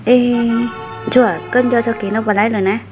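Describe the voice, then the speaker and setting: A woman's voice: fairly high pitch, steady tone, brisk, over music, natural voice. A woman playing a female character in a folk-tale cartoon about a family. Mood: conversational.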